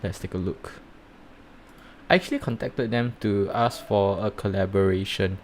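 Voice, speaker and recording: A man with a Singaporean accent giving rambling, halting commentary while live-streaming reviews of projects. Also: fairly low pitch, fairly even intonation, darkish tone, a little hiss or hum. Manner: rambling, halting, many fillers and restarts.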